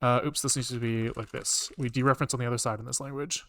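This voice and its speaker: A man's voice: mid-range voice, steady tone, fast, fairly bright tone. A man thinking aloud as he programs on a livestream, muttering code in fragments and swearing casually, close to a desk microphone.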